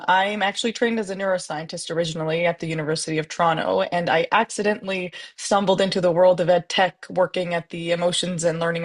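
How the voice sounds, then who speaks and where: A woman guest author introducing herself on a podcast, chatty, with filler ums. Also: lowish voice. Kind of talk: conversational self-introduction.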